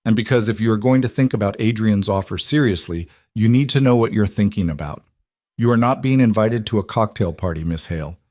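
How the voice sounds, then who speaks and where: A man voicing a blunt male crime boss in his sixties, gravelly and calm in a plain-spoken, lecturing way. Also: on the low side, fairly quick, dry recording, clean studio sound, close to an everyday voice. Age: 60s.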